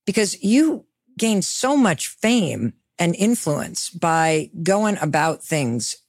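A woman's voice: fairly low pitch, very animated, takes it slowly, darkish tone, no echo, studio quality. A woman hosting an interview, asking probing questions in an earnest, emphatic way. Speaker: podcast host, interviewer.

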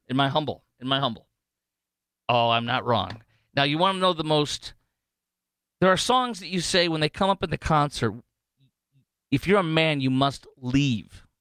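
A man hosting talk radio from a studio, jumping into high, mocking tones and tripping over his words. His voice is smooth and clear, recorded dry and close. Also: no echo. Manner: animated and sarcastic, full of fillers and restarts. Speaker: fill-in radio talk show host. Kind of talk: casual talk radio commentary.

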